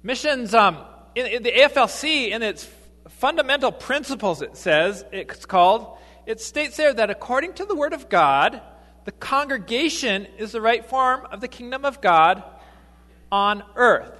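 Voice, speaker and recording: High, bright-voiced man preaching in a hall. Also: expressive. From grew up partly in Ecuador, then US. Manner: halting, frequent fillers and restarts. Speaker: lay missionary preacher. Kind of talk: sermon.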